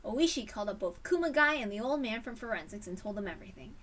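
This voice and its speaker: A woman narrates a story in a dramatic reading. Her voice is fairly high and very bright. The microphone sounds a little way off from her. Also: animated, fast, recorded from a distance. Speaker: reader.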